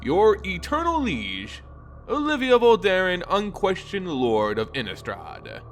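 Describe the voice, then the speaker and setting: A man's voice: sits high, huge pitch swings, unhurried, slight background noise, voice pushed up, heavily acted. A man voicing a haughty vampire envoy reading a decree in a pompous, mock-courteous, menacing way, as in a dramatic fantasy story. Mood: imperious, threatening.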